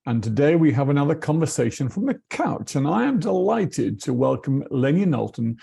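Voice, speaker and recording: Gravelly-voiced man interviewing, halting. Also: a little high, big pitch swings, dark tone. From England. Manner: halting, repeats words, chuckles often. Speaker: interviewer, board member. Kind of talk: video call interview. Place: home in England.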